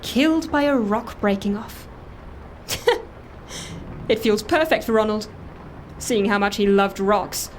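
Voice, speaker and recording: A woman playing an eager, quirky middle-aged islander in an audio drama, hesitant yet excitable, with deadpan humour. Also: mid-range voice, slow pace, long pauses, slight background noise, close to an everyday voice. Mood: wondering, nervous. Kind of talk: audio fiction drama acting.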